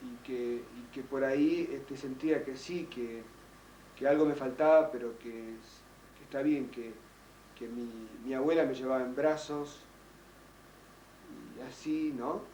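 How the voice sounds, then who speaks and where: An older man whose first language is French tells his memories haltingly, restarting and searching for words. He sits back from the microphone in a roomy space. A faint hiss runs underneath. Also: mid-range voice, fairly even intonation, warm tone. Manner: halting, many restarts and fillers. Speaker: interviewee, child survivor. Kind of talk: recorded oral history testimony. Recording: roomy, distant, faint noise.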